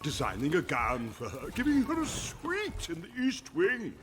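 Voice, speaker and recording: An actor playing a hot-tempered, imperious beast in film dialogue, explosive and scornful. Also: male voice, fairly high pitch, huge pitch swings, moderate pace, a bit bright. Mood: scornful outrage. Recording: noisy film clip audio.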